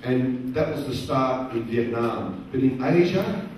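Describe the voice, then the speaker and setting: A middle-aged man speaking to a live audience at a career event, using slow, simple, repeated phrasing and asking the crowd questions. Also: medium pitch, lively intonation, a little mellow. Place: large conference hall. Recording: very echoey hall, distant voice, faint noise.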